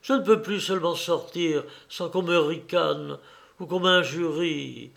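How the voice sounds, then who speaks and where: A man's voice: on the high side, unhurried, gravelly, a bit bright. A man voicing an anguished, fearful young man who pleads with his mother in an agitated, dramatic way, as in an audiobook. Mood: bitter dread.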